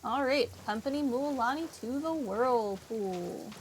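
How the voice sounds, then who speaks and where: High-voiced, jokey woman gamer. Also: lively intonation, unhurried, slight background noise, low-quality recording. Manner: jokey, slangy. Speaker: gaming streamer. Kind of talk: casual game playthrough commentary.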